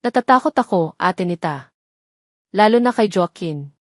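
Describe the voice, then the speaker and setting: A woman voicing a frightened, sorrowful, soft-spoken young mother in an audio drama. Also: medium pitch, lively intonation, moderate pace, dry recording, studio quality, close to an everyday voice. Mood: fearful, hurt. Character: frightened, hurt young mother confiding tearfully.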